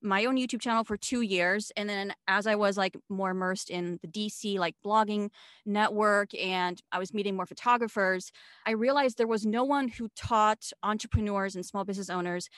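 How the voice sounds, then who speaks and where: A woman's voice: medium pitch, normal speed, a bit bright, no room sound, studio quality. A woman in her thirties, from Switzerland and not a native English speaker, chatting as a podcast guest and telling her story with many fillers. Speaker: guest, video marketing coach. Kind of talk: casual interview chat.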